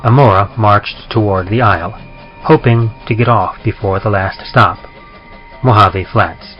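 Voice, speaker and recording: A man's voice: on the low side, a little slow. A man narrating a horror story in a dramatic old-time radio storytelling style, in a muffled recording. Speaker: narrator of horror fiction podcast. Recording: muffled, music underneath. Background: music.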